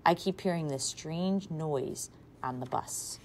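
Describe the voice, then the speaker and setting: A woman voicing a nervous young schoolgirl who speaks slowly and fretfully. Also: low voice, slight background noise.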